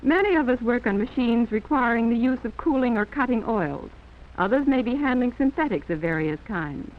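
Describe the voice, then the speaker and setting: Narrow tinny old film audio, American woman, higher-pitched voice, formal 1940s instructional narration, scripted, instructive. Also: animated, moderate pace.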